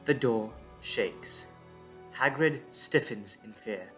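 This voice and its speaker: Unhurried man narrating a drama. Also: mid-range voice, fairly bright tone. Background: music.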